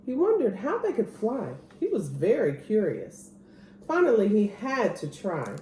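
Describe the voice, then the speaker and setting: Lady reading a kids book aloud, super expressive, soft mellow tone, roomy echo w some noise. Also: mid-range voice, a little slow. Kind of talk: expressive children's picture book read-aloud.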